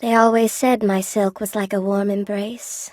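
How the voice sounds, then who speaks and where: A dry, intimate recording of a woman playing a gentle spider-woman who weaves and sells silk in a video game. She sits at a middling pitch and speaks with genteel, old-fashioned courtesy. She is sweetly attentive and eager to please, with a lonely streak. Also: flat delivery, moderate pace, no echo, natural voice. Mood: tender, eager. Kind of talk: video game character voice acting.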